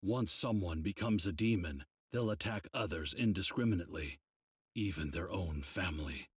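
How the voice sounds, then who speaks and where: An actor playing a grave old mentor of demon slayers, explaining things in a measured, solemn way for a video game. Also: male voice, sits low, husky, no room sound, clean studio sound. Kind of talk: English game dub, measured explanatory dialogue.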